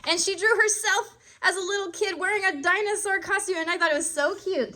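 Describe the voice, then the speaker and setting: A woman's voice: very high voice, steady tone, a little fast, pushed and bright. A woman hosting a casual live puppet show for children in a friendly, encouraging, upbeat way.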